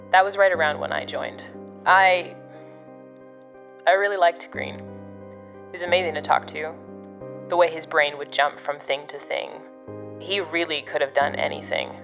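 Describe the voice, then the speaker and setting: A woman's voice: low voice, vocal fry, phone-quality sound. An actress playing a blunt, sardonic, foul-mouthed woman agent of a secret department in an audio drama. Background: music.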